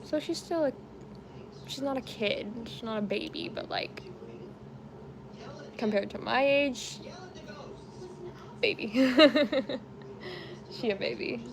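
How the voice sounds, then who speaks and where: A woman's voice: on the high side, takes it slowly, pauses often, crowd noise, poor audio. A woman around thirty chatting casually on a home crochet stream, rambling and laughing easily as she reads comments. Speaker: crochet livestream host. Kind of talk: casual chat while crocheting, reading comments.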